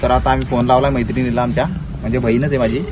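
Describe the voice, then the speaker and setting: A man's voice: medium pitch, flat delivery, quick pace, noisy background. A young man with a Maharashtrian accent talking casually as he hosts a prank-call vlog. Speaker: vlogger making prank calls.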